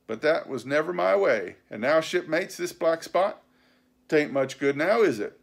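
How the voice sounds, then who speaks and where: A wily old pirate ringleader played by a male narrator blusters through a sea adventure story in rough sailors' dialect. He is scornful and commanding, pitched up and louder than plain narration. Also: lively intonation, a little slow, exaggerated voice. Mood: smug, triumphant. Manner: scornful, rustic seafaring dialect.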